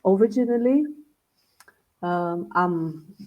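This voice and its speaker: A woman speaks slowly and tentatively, with a fairly low voice. She pauses often on fillers and feels her way through each thought in relaxed conversation. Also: highs cut off. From Slovakia. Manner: hesitant, many ums, nervous laughs. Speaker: guest, end of life doula facilitator. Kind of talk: casual conversation.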